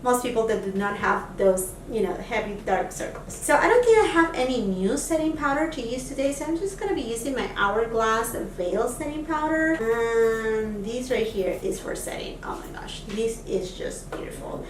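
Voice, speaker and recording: A woman's voice: fairly high pitch, animated, normal speed, few pauses, a little hiss or hum. A woman from Peru, a beauty vlogger, chatting casually through a makeup try-on with lots of fillers, recorded on a camera mic at a distance in a home room. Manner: chatty, lots of fillers, enthusiastic about products. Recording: echoey room, voice a bit off-mic.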